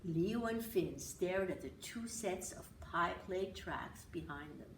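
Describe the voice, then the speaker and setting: A woman reads a children's story aloud in an unhurried, dramatic way with very animated pitch. She sounds a little away from the mic in a roomy space. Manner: expressive, dramatic. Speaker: author reading her picture book. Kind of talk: children's story reading with asides. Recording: roomy, distant mic with hiss.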